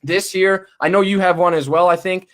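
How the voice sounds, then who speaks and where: A young man with a big, booming voice, pitched a little above the usual for men. He talks eagerly and loosely into a desktop podcast mic on a video call, stumbling over restarts and fillers as he goes. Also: fairly even intonation, moderate pace. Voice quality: booming loud voice. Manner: enthusiastic, many fillers and restarts. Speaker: chiropractic student guest. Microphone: desktop USB podcast mic.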